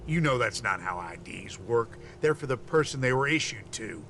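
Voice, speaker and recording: An actor playing an officious, dutiful city gate guard in a video game, formal and earnest as if reciting rules. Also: male voice, mid-range voice, lively intonation, moderate pace, creaky, pushed and bright, a little hiss or hum. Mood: earnest, credulous. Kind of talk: video game character dialogue.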